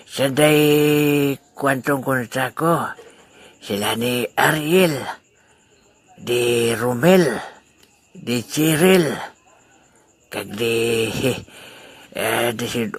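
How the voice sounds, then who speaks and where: A man playing a jovial, slow old grandfather. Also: medium pitch, vocal fry, slightly rough, dry recording, full character voice. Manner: laughing, good-humoured, slow, muttering asides. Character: good-natured old grandfather, joking and easygoing. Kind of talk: amateur audio drama. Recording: noisy amateur recording.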